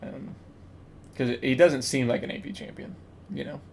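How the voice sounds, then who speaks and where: A man's voice: mid-range voice, normal speed. A man hosting a casual group quiz chat, talking in a hesitant, explanatory way. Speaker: podcast quiz host. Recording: clear background noise.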